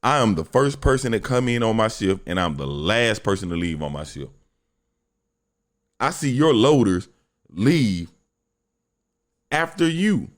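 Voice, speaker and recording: A young man hosting a solo podcast, talking bluntly and profanely in a deep voice, telling a story with lots of animation. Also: sing-song, measured pace, long pauses. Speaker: podcast host.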